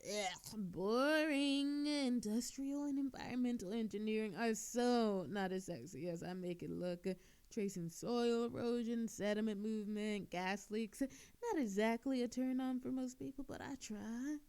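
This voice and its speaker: A woman's voice: fairly high pitch, a little slow, darkish tone, clear, resonant tone. A woman playing an obnoxiously flirtatious, sarcastic female thief in a scripted audio roleplay, teasing coyly and theatrically. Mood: playful, mocking.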